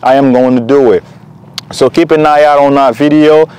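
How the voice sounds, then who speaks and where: A man's voice: mid-range voice, steady tone, normal speed, darkish tone, smooth, low-quality recording. A young man from Congo Kinshasa talking in a casual vlog, earnest and encouraging, with loose rambling sentences. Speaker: vlogger. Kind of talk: casual motivational vlog talk.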